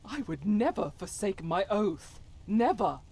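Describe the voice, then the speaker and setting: A woman playing a devout warrior in a game mod, earnest and solemn, with halting formal phrasing and breathy whispers. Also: medium pitch, big pitch swings, darkish tone, exaggerated voice. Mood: anguished, guilty. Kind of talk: dramatic video game mod voice acting.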